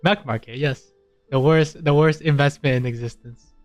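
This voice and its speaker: A man's voice: fairly high pitch, fairly even intonation, normal speed, faint music behind. A man giving casual, joking commentary while playing a video game.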